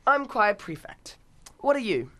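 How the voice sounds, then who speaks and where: A woman's voice: a little high, sing-song, measured pace, fairly bright tone. A woman playing an arrogant, bossy schoolboy choir prefect in a radio play, imperious and scornful. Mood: commanding.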